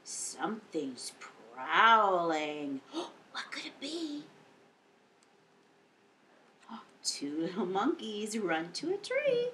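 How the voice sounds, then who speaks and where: Casting: female children's storytime reader, very lively intonation, unhurried pace, exaggerated delivery. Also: mid-range voice, a little hiss or hum. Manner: animated, exaggerated, with audible gasps and hushed whispers. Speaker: children's librarian, storytime host. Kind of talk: expressive read-aloud storytime for young children. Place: indoor lounge, seated on a couch.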